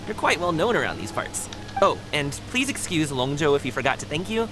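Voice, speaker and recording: Young male courier, video game: upbeat, light-hearted, very lively intonation. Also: a little high, fairly quick, music in the background, poor audio. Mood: friendly, upbeat. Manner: breezy, upbeat, teasing.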